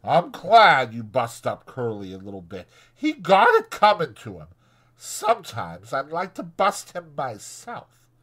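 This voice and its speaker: Man voicing a spiteful, menacing ranch wife, pitched up. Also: huge pitch swings, measured pace, fairly bright tone, exaggerated voice. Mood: cool, spiteful. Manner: threatening, contemptuous, rural American dialect. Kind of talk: dramatized audiobook.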